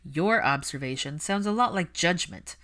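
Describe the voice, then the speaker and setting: A guarded, scornful upper-class man played by a woman narrator speaks in a low register. His audiobook dialogue is clipped and formal, full of cool disdain. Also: expressive, normal speed, a bit bright. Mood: scornful, challenging. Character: cold, guarded, contemptuous aristocratic young father.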